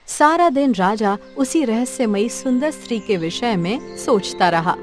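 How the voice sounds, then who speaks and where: A woman's voice: on the high side, animated, a little slow, music in the background. A woman reading scripted narration for a cartoon folk story.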